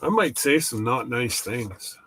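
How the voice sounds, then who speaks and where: Man, slow rambling talk, congested nose, stop-and-start sentences, faint background noise. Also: mid-range voice, animated, a bit bright. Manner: slow, halting, with many restarts. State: congested from hay fever. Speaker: channel host. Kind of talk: casual rambling chat. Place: workshop.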